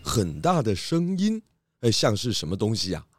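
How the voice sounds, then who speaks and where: A man's voice: lowish voice, huge pitch swings, moderate pace, music in the background. A man playing an inquisitive, decisive pig detective who muses and questions aloud in a children's audio drama. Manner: musing, questioning, hesitating aloud.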